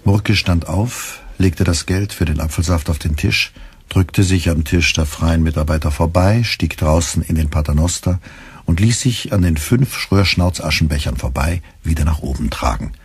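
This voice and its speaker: An actor playing a weary male radio editor who narrates in a measured, precise, dry literary style. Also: low voice, husky, dry recording.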